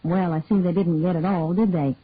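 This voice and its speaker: A woman's voice: on the low side, steady tone, fairly quick, slightly rough, no room sound, voice pushed down. A woman voicing a cool, grudging older mother in a story, reluctant and exasperated in manner. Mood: cool, critical. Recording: narrowband, tinny phone-like sound.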